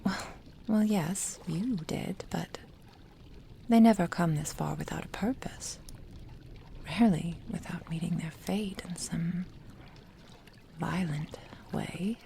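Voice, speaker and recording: A doting yandere witch, acted by a woman, whispers slowly in a dark, creaky voice. She is possessive and secretive, soothing and coaxing her beloved as in an intimate ASMR roleplay. Light background noise sits behind her. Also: on the low side. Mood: guarded.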